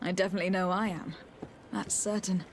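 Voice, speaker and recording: A woman's voice: on the low side, fairly even intonation, fairly quick, natural voice. An actress playing a composed, modest young noblewoman in a video game cutscene, resolute in manner, over faint ambient noise.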